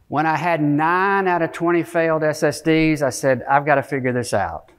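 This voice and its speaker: Higher-pitched man giving a conference talk. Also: moderate pace. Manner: conversational, frequent tag questions like "okay?" and "right?"; frequent throat clearing. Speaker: conference keynote speaker. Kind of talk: informal technical presentation. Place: conference stage, speaking from a podium area to an audience.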